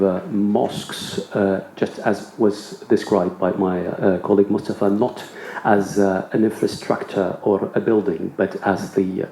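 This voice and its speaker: A male interpreter renders speech live in a somewhat low, bright-edged voice. He builds sentences haltingly, piece by piece. The sound is roomy, with a faint layer of background noise. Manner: halting, phrase by phrase. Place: indoor conference.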